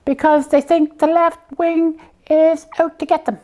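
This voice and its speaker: A woman voicing a slipper puppet who hosts a comic show, explaining things simply and haltingly in a matter-of-fact way. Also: sits high, flat delivery, dark tone, highs cut off.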